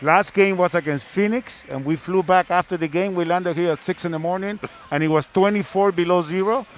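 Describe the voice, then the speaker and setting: A man's voice: sits high, brisk. A man who is a native Spanish speaker and a sports broadcaster, chatting casually and telling wry stories. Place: sports arena. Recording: faint arena noise.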